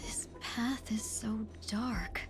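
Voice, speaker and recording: An actress voicing a lost, timid young woman with amnesia in a video game, soft, breathy and hesitant, often near a whisper. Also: a little high. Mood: dazed, wondering. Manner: hesitant, halting, hushed. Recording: game audio under music. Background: game music.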